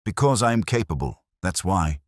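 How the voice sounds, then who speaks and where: A man voicing a formidable, proud older woman, brisk and indignant, in a mystery story. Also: low-pitched, animated, dry recording, clean studio sound. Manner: brisk, emphatic, wounded pride.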